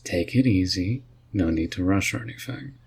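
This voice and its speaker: A man playing a laid-back, wry and curious male mage in a fantasy roleplay, musing and gently teasing as he talks. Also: deep voice, moderate pace, a little hiss or hum. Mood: easygoing, teasing. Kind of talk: roleplay audio drama addressed to the listener.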